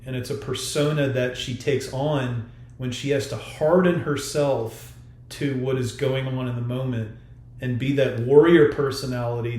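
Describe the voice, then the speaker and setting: Male author, podcast guest, mid-pitched voice, thoughtful explainer, roomy echo on the recording. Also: darkish tone. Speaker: author being interviewed. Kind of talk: podcast interview about a novel. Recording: roomy, echoey sound.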